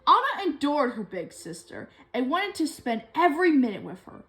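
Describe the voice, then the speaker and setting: A woman telling a children's story from a book in an expressive way. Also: sits high, moderate pace, faint music behind. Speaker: storybook reader.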